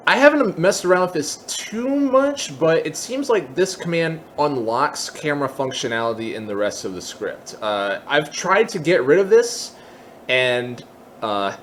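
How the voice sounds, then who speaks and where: A man with a medium-pitched voice leads a tutorial in an informal, explanatory way. He thinks aloud as he moves step by step through the software. Some background noise sits under his voice. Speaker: tutorial presenter.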